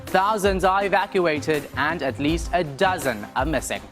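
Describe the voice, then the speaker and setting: A man's voice: on the high side, measured pace, a bit bright, soft background music. A man presenting broadcast news as an anchor and reporter, in a scripted delivery.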